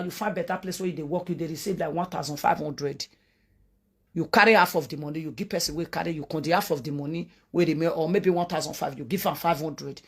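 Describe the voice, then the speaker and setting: A woman's voice: fairly low pitch, husky. A woman from Edo State in Nigeria with a Nigerian Pidgin accent, giving earnest, repetitive commentary and pleading with her viewers. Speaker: online commentator.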